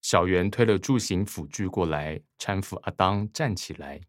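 A man's voice: low voice, moderate pace, dry recording, clean studio sound, lightly acted. A man narrating a radio drama, reading scripted scene directions in a composed way. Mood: neutral.

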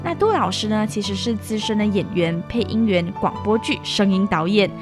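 A woman's voice: mid-range voice, lively intonation, normal speed. A young woman hosting a student radio interview in a chatty way, readily acknowledging what is said. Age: young adult. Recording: music bed behind the voice. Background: background music.

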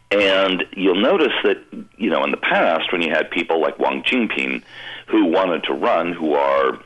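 A man's voice: rumbling low, pushed and bright, low-quality recording. A man commenting on politics on a news panel, emphatic and conversational, with frequent "you know" and "um".

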